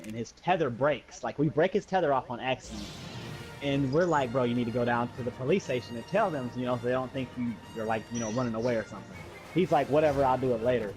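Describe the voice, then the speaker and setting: An American man casually telling a personal story, acting out dialogue in slangy, sweary speech. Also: medium pitch. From Muskegon, Michigan, USA. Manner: animated retelling with acted-out dialogue and slangy swearing.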